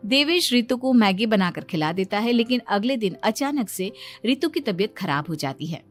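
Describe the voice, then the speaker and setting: A woman giving scripted storytelling narration for an animated moral story. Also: mid-range voice, moderate pace. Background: music.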